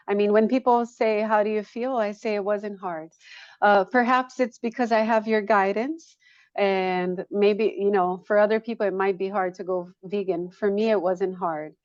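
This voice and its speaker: A woman from Brazil speaks as a guest in a casual interview, with a mid-pitched voice. She is matter-of-fact and restates her points for emphasis. The sound is very dry and a little muffled. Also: no echo. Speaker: guest, yoga studio owner.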